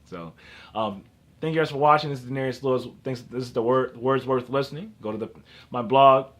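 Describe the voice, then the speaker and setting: A man's voice: mid-range voice, brisk. A man in his early twenties talking in a casual motivational vlog, rambling and restarting often as he gives earnest advice. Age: early 20s. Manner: rambling, with frequent restarts and repeats. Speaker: vlogger.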